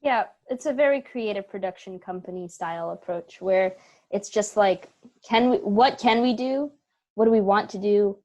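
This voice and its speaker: Woman on a video call interview, normal mid pitch, chatty, sorta thinks out loud as she goes. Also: moderate pace. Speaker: interview guest, theater company member. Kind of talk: casual interview chat.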